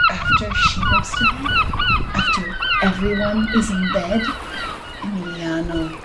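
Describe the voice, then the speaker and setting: A woman playing a seductive, confident, scheming mistress in a radio play. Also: fairly high pitch. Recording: faint background noise.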